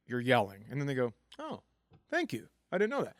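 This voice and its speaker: A man's voice: mid-range voice, huge pitch swings, fairly quick, no echo, clean studio sound. A man co-hosting a comedy podcast, rambling through stories in casual, swearing banter.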